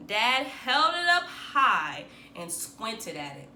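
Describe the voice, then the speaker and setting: A woman reading a children's picture book aloud as a teacher, animated and with dramatic emphasis for young listeners. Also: fairly high pitch, takes it slowly. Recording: roomy echo, faint background noise.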